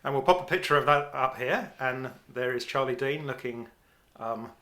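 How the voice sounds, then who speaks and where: Bright-voiced English man, rambling chat. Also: medium pitch, moderate pace. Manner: rambling, many restarts, informal. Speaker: podcast co-host. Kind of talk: casual cricket podcast chat.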